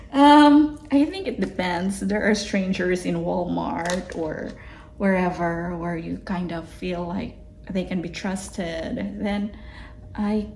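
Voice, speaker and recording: Filipina vlogger lady, slow easy talk, lots of ums and restarts, a bit of hiss behind. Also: on the low side, fairly even intonation, darkish tone. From Philippines. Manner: chatty, lots of fillers and restarts, laughs easily. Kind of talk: casual self-reflective vlog.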